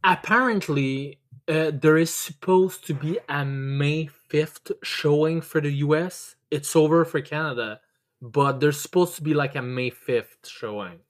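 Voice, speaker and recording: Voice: mid-range voice, unhurried. A podcast host chatting casually and enthusiastically, stammering and repeating words, with emphatic swearing. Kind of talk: casual chat, enthusiastic review.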